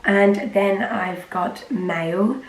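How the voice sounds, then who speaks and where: A teenage girl, slightly croaky from a cold, rambling casually to camera with lots of fillers. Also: mid-range voice, drawn-out words. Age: teens. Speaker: vlogger. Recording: roomy with faint ambient noise.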